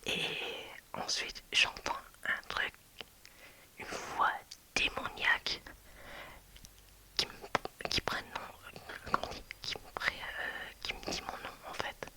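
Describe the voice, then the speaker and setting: A woman whispering a personal story to her viewers as a video creator, hushed and hesitant, with many restarts and fillers. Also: slow, pauses often, low-quality recording.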